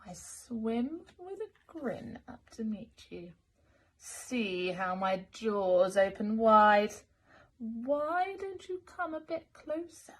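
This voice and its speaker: A woman voicing a sly, grinning, menacing storybook shark in a coaxing, drawn-out way. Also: a little high, sing-song, fairly bright tone, exaggerated voice, poor audio. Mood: slyly menacing.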